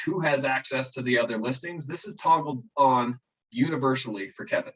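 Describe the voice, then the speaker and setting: A man giving a live online software walkthrough as a trainer, haltingly, repeating and restarting his phrases. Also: medium pitch, husky. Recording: muffled, distant mic, online meeting.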